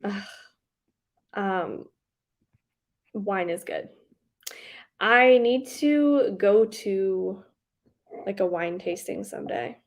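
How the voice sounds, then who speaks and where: A woman's voice: mid-range voice, extremely slow, long pauses. A young woman hosting a livestream, chatting casually and rambling with lots of fillers, a faint Southern accent slipping into some words. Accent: slight Southern US on some words. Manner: rambling, giggly, lots of likes and ums. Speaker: booktube livestream host. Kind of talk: casual chat reading live comments.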